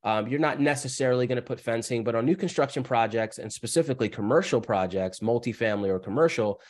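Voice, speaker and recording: A man's voice: medium pitch, quick pace. Man with a scratchy cold voice. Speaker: guest speaker. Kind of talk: webinar presentation.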